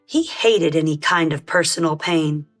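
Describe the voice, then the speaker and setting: A woman narrating a children's storybook as a read-aloud host, in an expressive, measured style. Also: sits low, soft background music.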